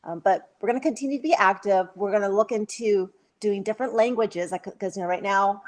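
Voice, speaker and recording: A woman talking casually on a video call, her voice pitched in the middle. She is chatty, laughs often and leans on fillers as she talks. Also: fairly quick. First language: English. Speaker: social media volunteer. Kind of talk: casual conference talk.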